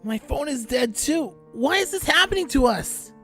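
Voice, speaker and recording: A man's voice: extremely high pitch, animated, normal speed, pushed and bright, faint music behind, exaggerated voice. A man playing an anxious, questioning boy in an audio drama. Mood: alarmed.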